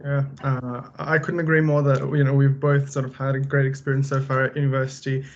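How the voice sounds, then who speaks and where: A young man from Bulgaria, a final-year student, giving a relaxed, conversational talk with many fillers. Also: mid-range voice, brisk, highs cut off, slight background noise. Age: young adult. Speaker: student presenter. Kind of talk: casual presentation.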